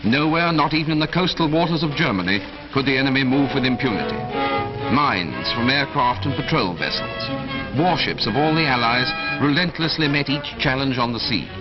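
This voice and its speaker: A man narrates a 1940s wartime newsreel over a loud orchestral score. His delivery is solemn and dramatic, with weighty, measured phrases at a medium pitch. The sound is dull and dated, like an old film soundtrack. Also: lively intonation, loud music behind the voice.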